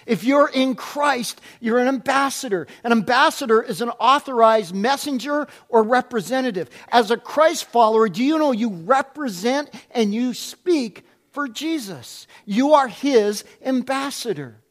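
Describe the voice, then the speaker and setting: A middle-aged man preaching to his congregation in a strikingly high voice. He speaks loudly, and his voice climbs further when he gets excited. He asks rhetorical questions and repeats them for emphasis. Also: unhurried, hardly any pauses. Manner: loud voice that rises when excited. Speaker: pastor. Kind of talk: sermon.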